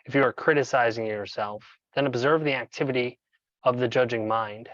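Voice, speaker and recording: Male coach for guided meditation narration. Medium pitch, measured and instructional, pausing deliberately. Dry, slightly dull recording. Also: fairly even intonation, fairly bright tone, no room sound.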